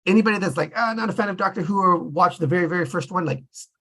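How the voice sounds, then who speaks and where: Man, fairly high voice, raspy edge, animated, rambling informal group host. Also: very fast. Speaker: host of a table read group. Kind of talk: casual group chat.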